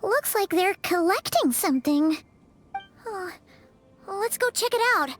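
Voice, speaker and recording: A woman voicing a small, excitable, chatty childlike fairy companion with a squeaky voice in a video game. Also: unhurried, faint music behind. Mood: startled, curious. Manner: refers to self in third person, exclaiming. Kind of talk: video game character voice acting.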